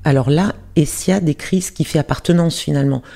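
A radio book-show host asking calm, probing questions of an author. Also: medium pitch, fairly quick, vocal fry, music in the background. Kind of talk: interview questions.

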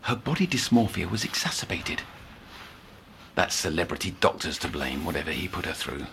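Low-voiced, brooding scholarly man acted. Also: lively intonation, normal speed, gravelly, bright, forceful tone, slight background noise, full character voice. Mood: brooding, determined. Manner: formal, brooding, articulate. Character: obsessive, scholarly male therapist-researcher keeping session notes. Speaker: actor. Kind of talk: dramatic monologue, audio journal.

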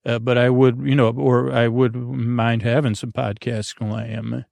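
Creaky, halting man rambling softly along. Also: mid-range voice, flat delivery, no room sound. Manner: meandering, halting, frequently stammering and trailing off. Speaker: podcast host. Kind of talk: rambling sleep podcast monologue. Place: small closet under the stairs.

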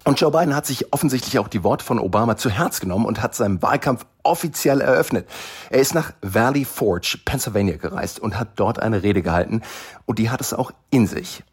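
A man's voice: medium pitch, lively intonation, brisk, slightly rough. A man co-hosting a political podcast as a campaign strategist, talking energetically and with emphasis. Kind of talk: political analysis chat.

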